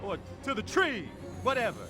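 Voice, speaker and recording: A man voicing a brash, excitable, wisecracking talking animal sidekick in a game, cocky and exclamatory. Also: extremely high pitch, normal speed, music in the background.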